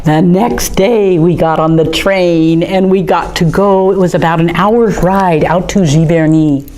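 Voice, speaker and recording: Female storytime host, middle-aged, low-ish voice, unhurried and gentle, eager with the kids, faint hiss behind. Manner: gentle and enthusiastic, showing pictures to children. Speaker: storytime reader at an art museum. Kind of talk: storytime talk for children.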